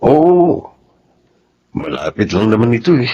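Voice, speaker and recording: A Filipino actor playing a devoted, steady young soldier husband in a radio drama. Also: male voice, medium pitch, a little slow, breathy edge. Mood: reassuring. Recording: muffled, noisy radio drama audio.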